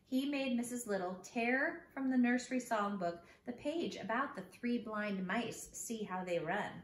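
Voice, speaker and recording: A woman's voice: a little high, unhurried. Woman reading a storybook in an echoey room. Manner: expressive, addressing young listeners directly. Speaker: teacher reading a children's book aloud. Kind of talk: storybook read-aloud for children. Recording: echoey room with background noise.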